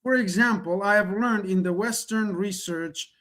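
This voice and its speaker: High-voiced Middle Eastern-born man presenting. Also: moderate pace, a bit bright. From Middle East. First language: Kurdish or Persian. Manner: earnest, repetitive, rhetorical questions. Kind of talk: online presentation, motivational pitch.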